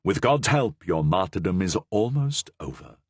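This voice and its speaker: A commanding church cardinal, as the narrator voices him, grave and authoritative. He speaks slowly and dips low on weighty words. A dry, close storybook read. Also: very animated, slightly rough, dry recording, exaggerated voice.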